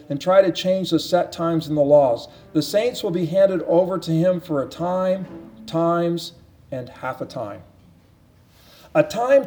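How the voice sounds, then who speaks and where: A man with a high, mellow voice preaches in an earnest teaching tone. He presses his points through repetition and often restarts his phrases. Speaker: pastor. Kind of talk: sermon Bible teaching.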